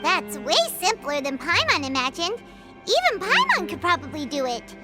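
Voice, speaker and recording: A woman voicing a tiny, childlike, curious fairy companion with a squeaky voice in a video game. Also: big pitch swings, normal speed, over loud music. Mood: curious, chatty. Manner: refers to self in third person, naive exclamations.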